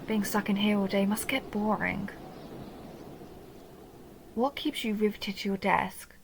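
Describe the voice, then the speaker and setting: A woman's voice: mid-range voice, fairly even intonation, measured pace, creaky, background noise. A woman playing a seductive, possessive vampire countess who teases and condescends in an intimate audio roleplay monologue. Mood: coaxing, wistful. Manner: teasing, mocking, intimate purring address.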